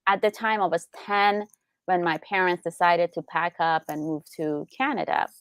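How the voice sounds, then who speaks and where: A woman's voice: lowish voice, moderate pace, fairly bright tone, clear, resonant tone, no room sound. A young adult woman from Iran, a business attorney, explaining in casual interview chat with lots of rhetorical questions.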